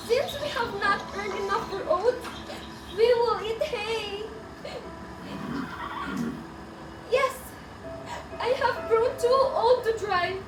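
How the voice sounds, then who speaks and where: A woman as grieving old female sledge driver, very high voice, tearful, halting, radio drama, roomy distant recording, music behind. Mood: weary, tender.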